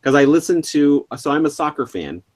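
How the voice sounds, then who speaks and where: A man's voice: on the high side, sing-song, brisk. A man from North Dakota co-hosting a podcast, telling anecdotes in an easygoing, casual way. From North Dakota, US Midwest. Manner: easygoing, anecdotal, laughs as he talks. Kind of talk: casual chat.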